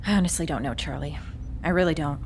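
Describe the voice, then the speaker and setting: A woman's voice: low voice, quick pace, a little mellow, slight background noise. A woman playing a weary, frank police detective in a naturalistic audio drama. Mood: resigned, frank.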